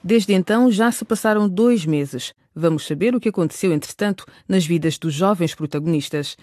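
Casting: male radio drama narrator, notably high voice, scripted delivery, dry close sound. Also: moderate pace, darkish tone, dry recording.

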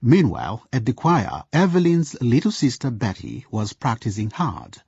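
A man's voice: mid-range voice, big pitch swings, normal speed, vocal fry, darkish tone, breathy edge, no room sound. A man narrating a radio drama, on a dull lo-fi recording. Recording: dull lo-fi recording with faint music.

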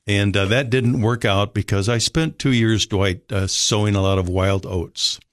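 An older man from Minnesota with a Minnesota accent, telling his life story as a guest in an unhurried, polite way. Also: fairly low pitch, no echo, clean studio sound. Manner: unhurried, polite, frequent fillers. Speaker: rescue mission director, guest. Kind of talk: relaxed storytelling interview.

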